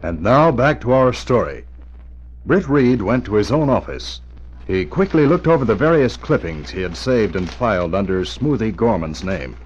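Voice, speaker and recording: A man's voice: fairly low pitch, expressive, normal speed, close to an everyday voice. A man narrating a scripted radio drama in 1940s broadcast style. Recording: dull old radio recording. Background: music.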